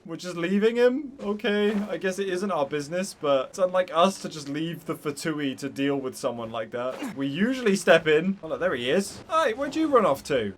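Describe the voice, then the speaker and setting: A man's voice: fairly high pitch, big pitch swings, fairly quick, few pauses. A man casually commenting while playing a video game, chatty and full of asides. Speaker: gaming YouTuber. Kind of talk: casual let's-play commentary.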